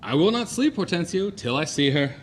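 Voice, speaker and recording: A man playing a blustering, boastful gentleman fortune-hunter in a declamatory Shakespearean audio play. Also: a little high, sing-song, fairly quick, a little hiss or hum. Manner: declamatory, swaggering.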